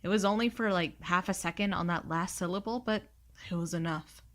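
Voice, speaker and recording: Woman playing a bright, snarky, exasperated space traveller. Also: on the low side, brisk, close to an everyday voice. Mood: wry narration. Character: sarcastic, foul-mouthed, reluctant young woman space traveller. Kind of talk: audio drama acting and narration.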